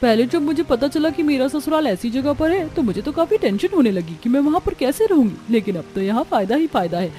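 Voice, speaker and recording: Woman playing a mellow, high-voiced young village daughter-in-law. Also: expressive, brisk, hardly any pauses. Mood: content. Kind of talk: scripted cartoon story dialogue.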